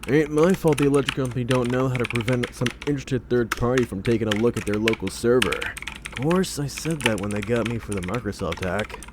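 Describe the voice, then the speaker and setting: A man's voice: medium pitch, a little fast, natural voice. A man voicing a cocky, slangy young computer hacker in a comic dub, glib and joking. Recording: clear noise, keyboard typing behind.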